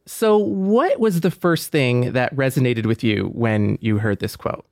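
A man's voice: medium pitch, animated, a little slow, creaky, a little mellow, no room sound, clean studio sound. A man speaking conversationally as a podcast co-host.

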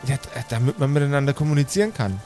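A man's voice: mid-range voice, animated, rapid-fire, warm tone, faint music behind, natural voice. A man voicing a friendly, chatty female forest dwarf in a fantasy audio game. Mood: friendly, explaining.

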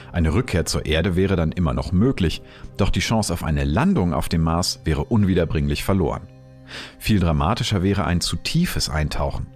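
Deep-voiced male documentary narrator. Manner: calm, explanatory.

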